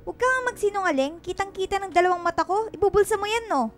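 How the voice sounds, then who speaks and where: A woman's voice: very high-pitched, animated, quick pace. A woman playing a mean, snobbish, accusing housewife in a radio drama, scolding and snappish. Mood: accusing, outraged. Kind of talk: Filipino radio drama acting.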